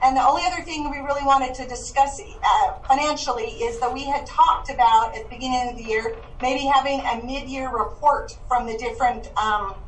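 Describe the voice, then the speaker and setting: High-voiced woman giving the finance report over a call speaker, brisk and businesslike, sounding distant in a reverberant room. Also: fairly even intonation, slight background noise. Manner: brisk, matter-of-fact, reads figures. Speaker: finance officer giving an update. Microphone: heard through a call speaker in the room. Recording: distant, echoey call audio.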